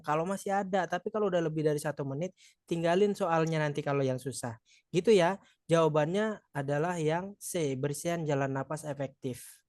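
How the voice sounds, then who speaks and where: A man's voice: on the high side, dry recording, clean studio sound. Chatty Madurese man tutoring online. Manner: chatty and encouraging, joking with participants. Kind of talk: live online exam practice session.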